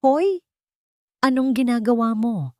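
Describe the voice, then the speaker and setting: A woman's voice: on the high side, lively intonation, slow, a little mellow, no room sound, clean studio sound, voice pushed up. A woman with a Filipino accent voicing a harsh, domineering older mother in an audiobook, scornful and scolding. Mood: scolding, outraged.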